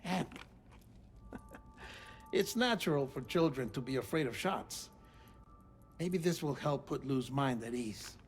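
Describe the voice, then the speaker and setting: An actor playing an earnest, gentle middle-aged scientist in a cinematic video game, speaking in a deliberate, formal, explanatory way. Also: male voice, medium pitch, expressive, a little hiss or hum. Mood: tender. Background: music.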